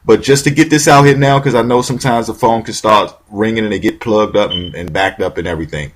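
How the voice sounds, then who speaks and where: A man's voice: fairly low pitch, quick pace. A man hosting a talk show, rambling with lots of restarts and casual slang. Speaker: radio show host.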